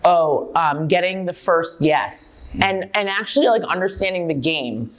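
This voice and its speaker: A middle-aged woman with a low voice speaks in an interview. She is self-assured and emphatic, telling personal stories candidly as a founder would. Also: lively intonation, unhurried, poor audio. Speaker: company founder and chief executive, dietitian. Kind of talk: on-stage interview, personal storytelling.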